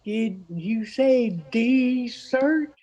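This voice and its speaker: Older lady doing a confused 100 yr old guy, high pitched, husky, super slow n halting, radio comedy. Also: mellow, muffled recording. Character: befuddled, hard-of-hearing hundred-year-old male diner customer. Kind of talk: comic old-time radio play acting.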